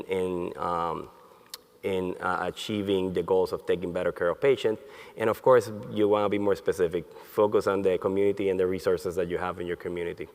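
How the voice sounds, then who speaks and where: A man born in Colombia and raised in Puerto Rico, giving a conference lecture in a halting way with many fillers and restarts. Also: on the low side, a bit bright. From born in Colombia, grew up in Puerto Rico. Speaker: neurologist, conference speaker. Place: conference hall. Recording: long hall reverb.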